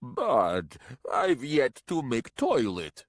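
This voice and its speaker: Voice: sits low, sing-song, slow, breathy edge, no echo, clean studio sound, full character voice. A man voicing a sly, grumbling old warrior with theatrical, sneering archaic speech in a story. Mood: dismayed.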